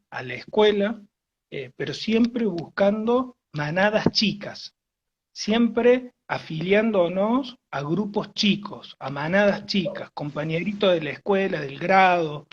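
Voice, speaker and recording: A man's voice: sits high, husky, lo-fi sound. A man with an Argentine Spanish accent giving a virtual lecture, explaining with many fillers and rephrasings.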